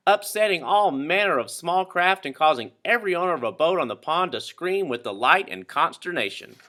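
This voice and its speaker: Bright, animated male storybook reader. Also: on the high side. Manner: dramatic, animated. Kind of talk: expressive scripted children's story narration.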